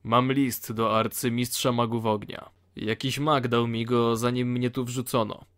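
A man acts a blunt convict from a fantasy penal colony. He has a mid-pitched voice and a dry, sardonic way of talking, as in a game-based audio play. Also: flat delivery, unhurried, a bit bright, clear, resonant tone, natural voice. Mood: blunt, matter-of-fact.

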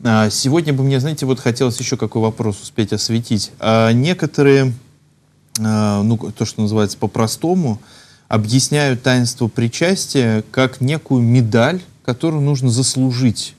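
A man in his thirties hosting a studio talk show, asking measured questions with some hesitation. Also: medium pitch, a little mellow. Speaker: show host, priest. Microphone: lapel.

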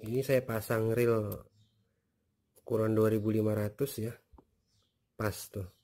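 Man, slightly low voice, casual, rambling product walkthrough into a handheld phone. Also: unhurried. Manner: relaxed, chatty, many fillers and tag questions. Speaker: fishing gear reviewer. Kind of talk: casual unboxing review. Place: indoors on a tiled floor.

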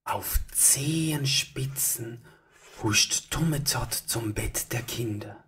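A man narrating a children's story as storyteller, in a hushed, expressive manner. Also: medium pitch, slow pace, slight background noise.

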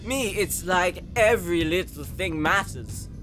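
A man's voice: high-pitched, animated, a little slow, music in the background. A man playing an insecure, earnest young man in an audio roleplay, whiny and easily flustered. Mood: bitter. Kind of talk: dramatic audio roleplay acting.

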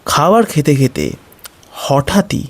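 A man's voice: on the high side, wildly swooping pitch, takes it slowly. A man narrating a scripted horror story in a suspenseful style. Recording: music bed under voice. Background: music.

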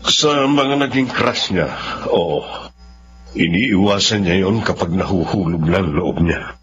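A very low voice of a grave, resigned middle-aged father in a radio drama. The recording is dull, with faint noise. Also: a little slow, fairly bright tone. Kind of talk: Filipino radio drama acting. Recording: muffled, slightly noisy radio drama audio.

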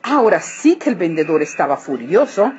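A woman narrating a children's story expressively, with deliberate, dramatic phrasing. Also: fairly low pitch, sing-song, a little mellow, breathy edge. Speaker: storybook reader. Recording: muffled audio with music behind.

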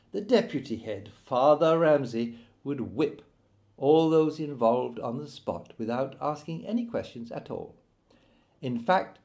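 Male author-narrator, mid-pitched, reading memoir prose in a measured storytelling delivery. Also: a little mellow. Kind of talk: scripted audiobook narration.

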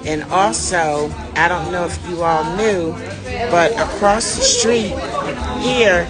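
A middle-aged woman hosting a casual live selfie video outdoors on a city street, speaking enthusiastically and calling her viewers y'all, recorded on a phone held at arm's length. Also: on the low side. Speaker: vlog host and tour organizer. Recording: music playing clearly behind voice. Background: music.

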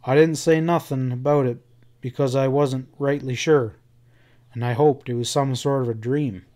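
A man voicing a grave, wounded cowboy in a Western audiobook, quiet and plain-spoken. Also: medium pitch, fairly even intonation, normal speed, close to an everyday voice. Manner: quiet, rustic Western speech.

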